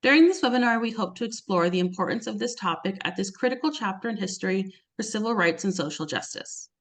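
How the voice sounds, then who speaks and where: A woman opening an online webinar as its host. She reads from a script at a mid pitch and sets out the session's agenda. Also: expressive, fast. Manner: reads a prepared introduction.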